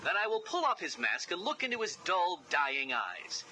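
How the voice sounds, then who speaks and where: A bright, sneering, arrogant genius villain. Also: male voice, sits high, huge pitch swings, moderate pace, a little hiss or hum, exaggerated voice. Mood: contemptuous, vengeful. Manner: smug, sneering, theatrical, mocking. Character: arrogant male genius villain, taunting, narcissistic, theatrical. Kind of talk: video game voice acting.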